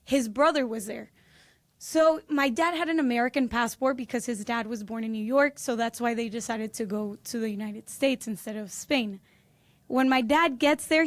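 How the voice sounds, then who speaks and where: A bubbly woman in her twenties from Peru, chatting as a podcast guest. Her voice sits high, and she tells her family story with quick laughs. The sound is dry and close. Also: dry recording. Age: 20s. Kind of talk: casual interview chat.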